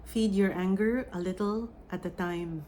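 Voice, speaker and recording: A woman with a Filipino accent, a psychic medium giving an oracle reading and channeling a message in a slow, soft, meditative way. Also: mid-range voice, a little hiss or hum. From Philippines.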